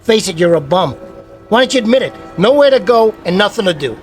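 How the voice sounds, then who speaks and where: A man's voice: high-pitched, big pitch swings, a little fast, faint music behind. An actor playing a sour, scornful man in his thirties in a radio drama, brash, slangy and combative. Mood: angry, scornful. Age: 30s.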